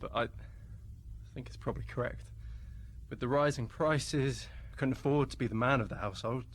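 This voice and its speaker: A man's voice: mid-range voice, moderate pace, slight background noise, natural voice. A man playing a meek, awkward, polite captive in a radio play, hesitant and apologetic. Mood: self-deprecating. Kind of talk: radio play monologue acting.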